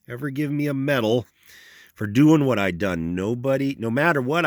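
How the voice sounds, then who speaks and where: A man's voice: medium pitch, fairly bright tone, dry recording, studio quality, pitched above the performer's own voice. A man voicing an opinionated middle-aged rural man who rambles in folksy, ungrammatical speech. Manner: folksy drawl, ungrammatical, long-winded.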